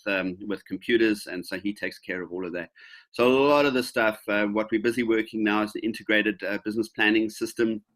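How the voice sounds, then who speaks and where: Man in his fifties, hesitant and low. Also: a bit bright, poor audio. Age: 50s. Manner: hesitant, many fillers and restarts. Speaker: presenter answering questions. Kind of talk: casual webinar Q&A. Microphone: video call.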